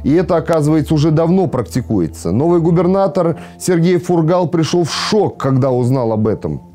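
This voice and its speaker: A man's voice: a little high, expressive, brisk, mellow, soft background music. A man in his thirties presenting scripted news in a studio with an ironic, sarcastic slant.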